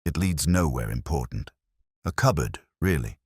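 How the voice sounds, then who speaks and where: A man playing a weary, middle-aged literary police inspector, narrating his case in a measured, reflective detective-drama style. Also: a bass voice, animated, darkish tone, breathy edge, no echo, studio quality, full character voice. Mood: reflective, grave. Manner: measured, brooding, literary delivery. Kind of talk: scripted first-person detective narration.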